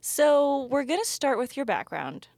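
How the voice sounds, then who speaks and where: A woman's voice: high-pitched, very animated, a little slow, darkish tone, no room sound. A woman hosting a startup podcast, reading the intro and interviewing a guest in an upbeat, easy manner. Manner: upbeat, laughs easily. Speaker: podcast host.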